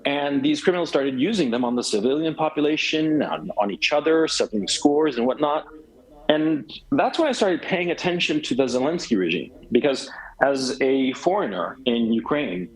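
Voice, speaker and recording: A man's voice: a little high, lively intonation, moderate pace, low-quality recording. A middle-aged man from Chile, a guest in a remote interview, talking at length with lots of fillers and restarts. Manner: rambling, many fillers and restarts.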